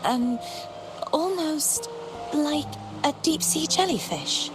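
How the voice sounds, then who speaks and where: High-voiced, composed, wistful maiden. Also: female voice, very animated, exaggerated voice. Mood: hesitant, searching. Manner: formal, measured, storybook phrasing. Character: composed, wistful young maiden confined alone in isolation. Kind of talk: scripted video game dialogue. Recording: game music behind the voice.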